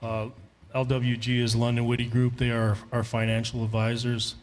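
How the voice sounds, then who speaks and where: A man's voice: medium pitch, monotone, normal speed. An American man speaking as a town manager, giving a brief explanation at a public meeting. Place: indoor town council meeting.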